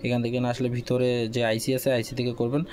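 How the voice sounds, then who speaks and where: A man's voice: medium pitch, completely flat delivery, a little fast, a little mellow. A man presenting a casual hands-on repair tutorial, explaining step by step and often repeating his phrases. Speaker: phone repair tutorial host.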